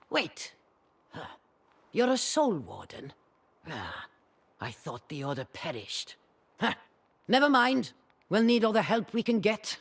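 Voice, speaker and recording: Raspy, haughty old sorceress crone. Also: female voice, low-pitched, exaggerated voice. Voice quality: raspy, husky. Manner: theatrical, deliberate, cackling. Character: centuries-old reclusive sorceress crone, haughty, sly and brooding. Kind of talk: dramatic video game acting. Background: background music.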